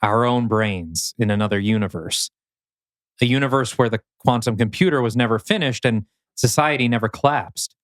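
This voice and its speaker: A man's voice: mid-range voice, moderate pace, dry recording, close to an everyday voice. A man reading the part of a wary, unsettled man in a science-fiction script. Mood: uneasy. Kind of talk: script table read.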